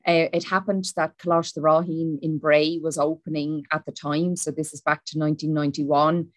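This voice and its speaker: A woman's voice: low voice, little pitch movement, no room sound. A woman in her forties from Wicklow with an Irish accent, giving a prepared talk as a panel speaker on a webinar. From Ireland. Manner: measured, speaks Irish then repeats in English. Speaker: panel speaker, former pupil.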